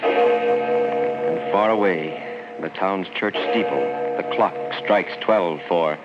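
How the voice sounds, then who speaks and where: A dramatic male announcer narrates in golden-age radio style. His voice is very deep and ominous. Loud music plays behind him on a dull, old-sounding recording. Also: slow pace.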